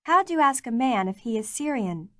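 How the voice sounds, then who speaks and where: A woman with a slightly raised pitch reads course prompts in a clear, measured manner. The sound is close and dry, with no room in it. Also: very animated, sharp tone, no room sound. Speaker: language course narrator. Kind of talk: scripted instructional narration.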